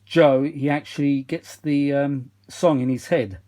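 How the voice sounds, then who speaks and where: A man's voice: a little high, steady tone, measured pace, warm tone. A man presenting a casual restoration vlog in a chatty, self-deprecating way, often losing his thread and searching for words. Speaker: vintage audio restorer presenting his own video. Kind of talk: rambling casual demonstration vlog. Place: small cluttered kitchen used as a workshop.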